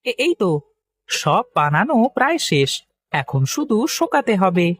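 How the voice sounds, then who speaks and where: A man playing an earnest, trusting village potter and husband in an animated moral story, with a plaintive way of talking. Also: high voice, a little slow, exaggerated voice.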